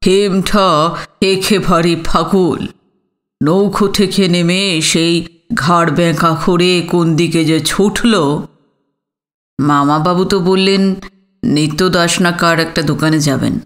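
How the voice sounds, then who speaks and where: A man playing an elderly, affable, fussing gentleman in an audio story, with drawn-out, deliberate phrasing. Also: on the high side, fairly even intonation. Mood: fondly exasperated. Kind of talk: audio story dramatic acting.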